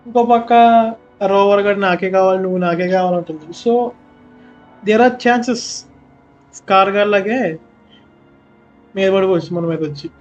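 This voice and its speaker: A young man with a Telugu accent giving quick, chatty live commentary while streaming a game. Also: sits high, lo-fi sound, soft background music. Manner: quick, chatty, mixing in casual asides.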